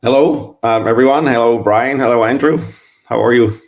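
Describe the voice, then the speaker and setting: A middle-aged man from Switzerland, answering interview questions in a measured, hesitant way with many fillers. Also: medium pitch. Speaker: guest, engineering consultant.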